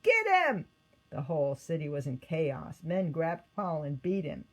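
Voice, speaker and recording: A woman reading a scripted children's Bible story aloud in an expressive manner. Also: sits low, moderate pace. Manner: expressive read-aloud with acted quotes. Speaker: storybook reader.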